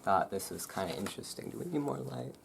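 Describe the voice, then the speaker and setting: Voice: mid-range voice, lively intonation, fast talker, gravelly. A self-deprecating host chatting casually in a rambling way between bits of reading aloud for an ASMR audience, recorded at home. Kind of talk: casual ASMR chat around a book reading. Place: at home indoors. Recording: noisy room with thunderstorm ambience. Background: thunderstorm ambience, room noise.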